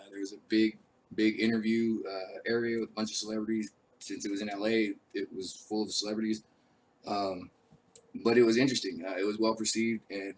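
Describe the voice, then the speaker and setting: A man who is an army veteran hosting his own show, talking casually and rambling with many fillers, his voice raspy from a cold. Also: lowish voice, slight background noise, low-quality recording. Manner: laid-back, rambling, frequent uh fillers. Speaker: show host. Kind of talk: casual live-stream talk.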